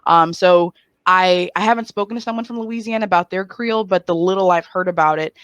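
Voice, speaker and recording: A woman comedian born in Haiti, chatting as a podcast guest in an animated, joking way with many "um"s. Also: lowish voice, fairly quick, no echo. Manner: animated, joking, lots of fillers like "um". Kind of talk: casual interview chat.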